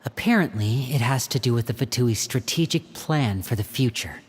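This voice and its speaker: A man voicing a composed, protective young leader in an anime game, speaking in a measured, serious, explanatory way over faint ambience. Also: mid-range voice, animated, slight background noise, close to an everyday voice. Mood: grave, resigned.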